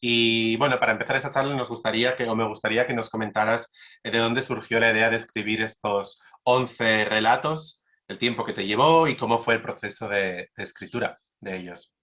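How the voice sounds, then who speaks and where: Male interviewer, formal with slips, low and bright-edged voice. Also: a little fast. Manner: formal introduction with stumbles and self-corrections. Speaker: interviewer and host. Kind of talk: reading an introduction, then interviewing. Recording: dull lo-fi sound.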